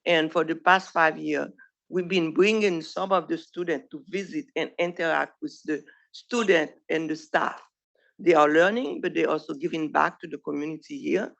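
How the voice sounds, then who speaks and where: A woman's voice: low voice, fairly even intonation, moderate pace, vocal fry, fairly bright tone, lo-fi sound. A woman from Haiti with a Haitian accent, a primary care doctor giving an earnest presentation to trainees. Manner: earnest, plain speech with small grammar slips. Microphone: podium microphone.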